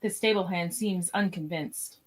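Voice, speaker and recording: A woman's voice: lowish voice, measured pace, poor audio. A woman reading scripted scene directions as narrator of a podcast radio play.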